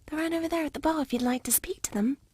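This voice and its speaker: A woman playing a friendly young tavern greeter with a breathy voice in a video game. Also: high voice, very fast, darkish tone, close to an everyday voice. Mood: welcoming.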